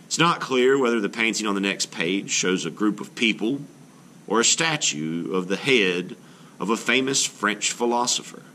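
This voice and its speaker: A man reading a children's book aloud as an art teacher, in an expressive, explanatory way meant for children. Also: fairly low pitch, moderate pace, a little hiss or hum.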